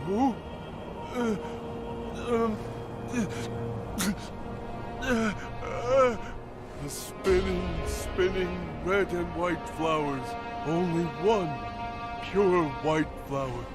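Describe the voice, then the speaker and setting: A male actor playing a lonely, childlike, simple-minded man in a game cutscene, speaking in a halting, confused, plaintive voice. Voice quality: high-pitched, plaintive. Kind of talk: video game cutscene acting. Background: music.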